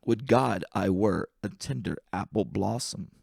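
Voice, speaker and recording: A man reading humorous poetry aloud as an amateur, with a deliberate, wry delivery and careful enunciation. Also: fairly low pitch, creaky, a little mellow, no echo, studio quality.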